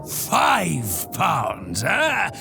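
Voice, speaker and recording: An actor playing a loud, greedy butcher merchant who shouts and cackles, in an audio drama with music under him. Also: male voice, on the high side, wildly swooping pitch, drawn-out words, gravelly, heavily acted. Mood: boisterous.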